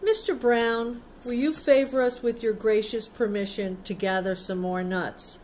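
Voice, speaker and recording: A courteous little storybook squirrel, voiced by a woman, speaking formally and deferentially. The voice is lifted a little in pitch as it asks permission. Faint noise sits in the recording. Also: fairly even intonation, measured pace, a little mellow, lightly acted. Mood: polite, pleading.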